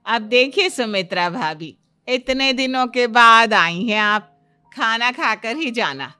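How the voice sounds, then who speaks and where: Woman voicing a homely middle-aged aunt, animated. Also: a little high, takes it slowly, clean tone, dry recording, soft background music, pitched above the performer's own voice, heavily acted. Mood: hospitable, chatty. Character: hospitable middle-aged aunt hosting visiting relatives, homely. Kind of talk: Hindi cartoon family story acting.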